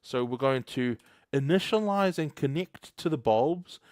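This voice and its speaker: A man's voice: medium pitch, expressive, a little slow, a little mellow, no room sound, studio quality. Chatty New guy coding live. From New Zealand. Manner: chatty, laughs often, reads chat aloud. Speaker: livestream host. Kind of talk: casual live coding stream.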